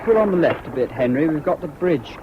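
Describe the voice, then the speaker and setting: A man's voice: on the high side, animated, brisk, natural voice. An actor playing a naive, indecisive young British man in a radio drama, hesitant and ingenuous. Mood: confiding, uncertain. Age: young adult. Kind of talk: BBC radio drama acting. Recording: dull, noisy old radio broadcast.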